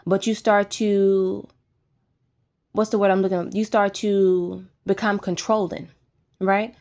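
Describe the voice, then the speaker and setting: A woman's voice: medium pitch, level tone, measured pace, a little mellow. A woman giving a casual spiritual testimony, earnest and insistent, who keeps tagging her points with "right?". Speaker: vlogger sharing spiritual testimony. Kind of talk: casual monologue.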